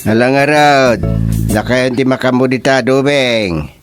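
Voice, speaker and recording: A man's voice: mid-range voice, a little slow, music in the background, natural voice. A man playing a chatty townsman in an Ilocano radio comedy drama, speaking with an Ilocano accent.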